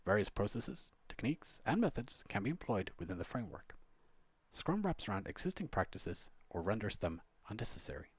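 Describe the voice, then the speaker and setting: Man, lowish husky voice, animated intonation, scripted explainer narration, conversational asides, dry recording. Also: dry recording. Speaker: blogger and Scrum trainer reading own blog post.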